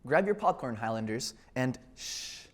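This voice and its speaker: A teenage boy anchoring a school news show with a scripted, measured delivery. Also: medium pitch, big pitch swings, a bit bright. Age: teens.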